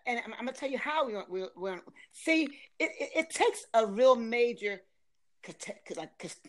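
A woman's voice: on the high side, animated, moderate pace. A middle-aged woman from Philadelphia with a Philadelphia accent, chatting casually, laughing often and restarting her sentences. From Philadelphia, USA. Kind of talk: casual chat.

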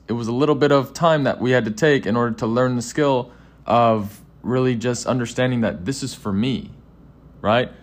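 A man speaking as a podcast guest, earnest and halting, full of restarts as he answers. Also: mid-range voice. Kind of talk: casual interview.

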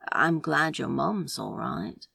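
A woman voicing a gruff, blunt, secretly tender man who grumbles in British slang, in an audio drama. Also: low-pitched, animated, slow pace, bright, forceful tone, no echo, studio quality, full character voice. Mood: blunt sympathy.

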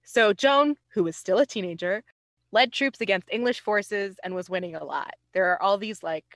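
A woman podcast host with a medium-pitched voice, recorded dry and close. She tells history like casual conversation, informal and self-deprecating, slipping in wry asides. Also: moderate pace, a bit bright, dry recording.

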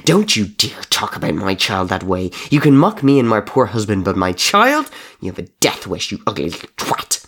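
A narrator as a gravelly, defiant shapeshifter father. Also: sits low, unhurried, exaggerated voice. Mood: enraged. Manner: snapping, scornful. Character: wounded, defiant, protective shapeshifter father with lethal sharp teeth.

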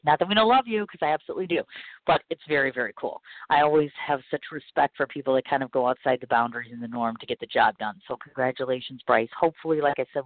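A man's voice: medium pitch, dry recording, narrow, tinny audio. A man hosting a podcast, talking in a rambling, gushing way and often repeating himself. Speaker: podcast host. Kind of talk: casual talk show monologue.

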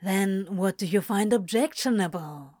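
Woman playing a laid-back, slow-talking male demon. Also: medium pitch, animated, dark tone, no echo, clean studio sound. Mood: teasing. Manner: casual, coaxing, teasing.

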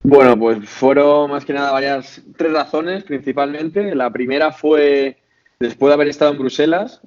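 A man's voice: on the high side, moderate pace, darkish tone. Guest, man, late 20s, Madrid accent, hesitant and chatty, dull lo-fi audio. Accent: Spain Spanish. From Spain, Madrid. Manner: hesitant, many fillers and restarts. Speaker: podcast guest. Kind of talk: casual interview chat.

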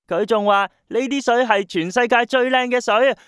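A woman's voice: a little high, moderate pace, clean tone, no room sound, clean studio sound, close to an everyday voice. An actress playing a small, innocent, curious boy in a radio drama, speaking Hong Kong Cantonese in an inquisitive, childlike manner. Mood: eager, earnest. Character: small curious wide-eyed grandson, innocent and eager.

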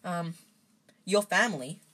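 A woman's voice: on the low side, measured pace, very noisy, close to an everyday voice. A woman voicing a polite, nervous, kindly boy wizard in a storybook, with a hesitant manner. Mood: anxious. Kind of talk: storybook character voice.

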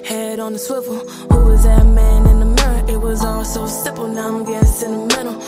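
A woman's voice: fairly high pitch, little pitch movement, a little fast. A woman performing rhythmic hip hop verses in a recorded song. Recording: loud music track.

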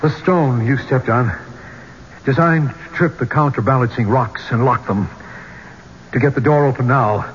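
Old 70s radio play, muffled n a bit noisy, guy playing a tense archeologist stuck in a tomb, halting dramatic talk. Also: mid-range voice, close to an everyday voice. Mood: grim. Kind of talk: 1970s radio drama acting.

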